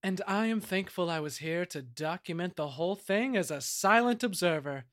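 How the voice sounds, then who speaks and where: A man's voice: sits high, lively intonation, normal speed, a bit bright, dry recording, studio quality, voice pushed up. A man playing an earnest, self-important historian who narrates in a formal, declamatory way in an audio drama. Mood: earnest, grandiose.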